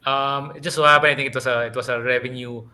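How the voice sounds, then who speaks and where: A man's voice: medium pitch, fairly even intonation, fast, bright, forceful tone. A man answering investor questions as a company executive. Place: virtual call. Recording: noisy virtual call audio.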